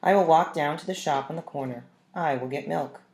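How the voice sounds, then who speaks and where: A woman's voice: low voice, a little fast, a little mellow. A woman voicing a mild, quirky, absent-minded father in a storybook, with an offhand, musing manner. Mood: mild, obliging. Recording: roomy, echoing room sound.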